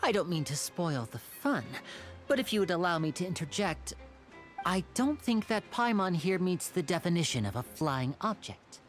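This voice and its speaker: A woman's voice: fairly low pitch, big pitch swings, moderate pace. A woman voicing a suave young male stage magician in a video game, with polite, playful showman's patter. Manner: courteous, smooth showman's patter. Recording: music under the voice.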